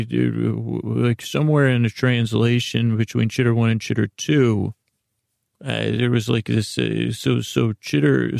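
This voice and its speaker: A middle-aged man hosting a podcast with a silly, halting monologue that meanders and repeats itself as it drifts into tangents. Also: medium pitch, vocal fry, darkish tone, dry recording, clean studio sound. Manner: silly, whimsical. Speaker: podcast host. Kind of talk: rambling comedic monologue.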